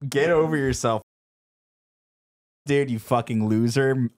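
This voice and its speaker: A man co-hosting a podcast, animated and swearing freely as he chats. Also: medium pitch, slow pace. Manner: animated, swearing, laughs a lot. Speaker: podcast co-host. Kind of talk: casual chat.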